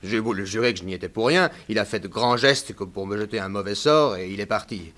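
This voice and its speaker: A man's voice: fairly low pitch, a bit bright, natural voice. An actor playing a mild, sly witness telling his story in a 1960s French radio drama. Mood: mild, resigned. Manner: measured, explanatory storytelling.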